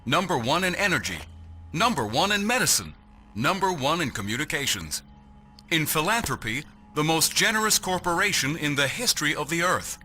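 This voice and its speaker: An actor playing a slick, grandiose corporate announcer reading a promotional advertisement. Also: male voice, fairly high pitch, sing-song, normal speed, bright, forceful tone, exaggerated voice. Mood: grand, upbeat.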